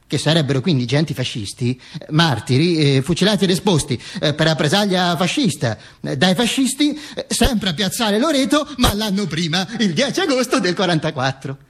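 A male actor playing a dead dictator's corpse as a bombastic, sardonic, macabre storyteller in a theatrical radio monologue, declaiming with halting stammers. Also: sits high, lively intonation, slightly rough. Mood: halting, scornful.

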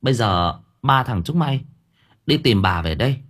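A man's voice: medium pitch, expressive, normal speed, a bit bright. A man voicing a bossy, foul-mouthed young woman exorcist who scolds shrilly. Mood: scolding.

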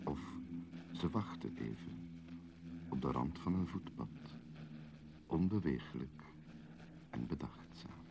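A man giving measured, solemn, poetic narration for a radio drama. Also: rumbling low, animated, vocal fry, full character voice. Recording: loud noisy ambience behind voice.

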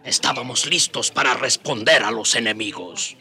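Proud rebel narrator, mid-pitched, very bright forceful voice, wry confidence, radio storytelling. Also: male voice, lively intonation, moderate pace. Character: proud native rebel man recounting past resistance. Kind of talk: dramatic first-person storytelling in radio drama.

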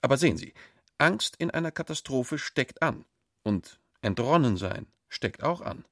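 Earnest, gravelly-voiced stiff schoolmaster. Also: male voice, mid-range voice, animated, dry recording, clean studio sound. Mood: earnest, urgent. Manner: formal, earnest, halting and pedantic. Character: stiff, moralising widowed schoolteacher, earnest and formal. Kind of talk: radio play acting.

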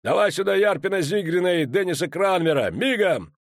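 A man's voice: sits high, animated, a little fast, dry recording, studio quality, voice pushed up. A man voicing a fierce, battle-hardened male dwarf commander who roars and curses as he barks orders. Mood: furious. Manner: roaring, cursing, barking orders. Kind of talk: audiobook character reading.

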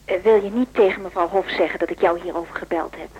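An actress playing a brisk, scheming younger woman activist in a Dutch radio drama, chatty and insistent. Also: on the low side, darkish tone, slightly rough, highs cut off. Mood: pointed, insistent. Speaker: actor in radio play.

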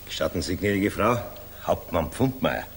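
An actor playing a brash, crude fighter pilot captain, hearty and blunt, in a 1960s radio drama. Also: male voice, deep voice, animated, moderate pace. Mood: hearty swagger. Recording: distant, reverberant mono radio studio, hiss.

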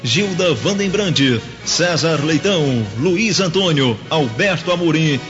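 High-pitched Brazilian announcer over music. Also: male voice, animated. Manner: emphatic, measured list reading. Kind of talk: formal radio credit announcement. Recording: dull radio recording under loud music.

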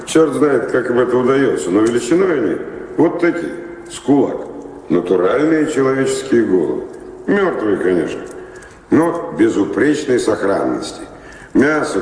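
Radio play wanderer, male actor: restless, dreamy man with a very low voice, theatrical swings, heavy reverb. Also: a little hiss or hum, lightly acted. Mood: sardonic storytelling. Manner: dramatic, theatrical delivery with sudden swings. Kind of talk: theatrical audio drama acting. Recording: echoey reverberant studio with loud music behind.

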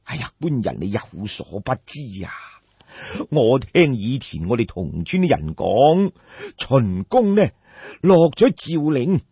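A man voicing a destitute old peasant in an audiobook story, sorrowful and respectful, with sighing, plaintive, drawn-out emphatic phrases. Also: mid-range voice, husky, no room sound, exaggerated voice. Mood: earnest, hopeful. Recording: dull, muffled lo-fi audio.

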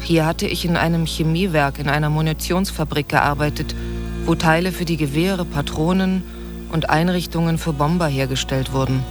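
A man's voice: on the high side, fairly even intonation, moderate pace, music in the background. A man reading a plain first-person account in a documentary radio drama. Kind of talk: documentary radio reading of a forced laborer's memory.

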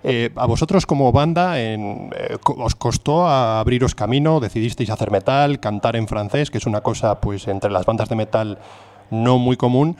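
A man's voice: medium pitch, moderate pace, darkish tone. Man asking fluent interview questions. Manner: fluent, long flowing questions. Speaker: radio host, interviewer. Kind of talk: radio interview.

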